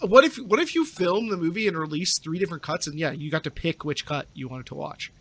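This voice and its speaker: Male podcast panelist, slightly high voice, casual and opinionated, talks through hypotheticals. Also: animated, fast talker, fairly bright tone, husky.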